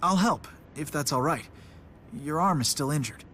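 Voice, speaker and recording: A man playing a gentle, considerate, worried young man in a video game, with an earnest delivery. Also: on the high side, expressive, moderate pace, slight background noise. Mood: caring. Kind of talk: dubbed video game cutscene acting.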